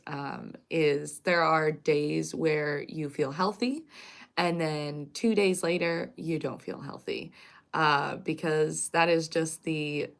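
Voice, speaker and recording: A woman from Canada, chatting casually on a live stream. Her voice is raspy and congested from a cold, and she rambles and corrects herself as she goes. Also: sits low.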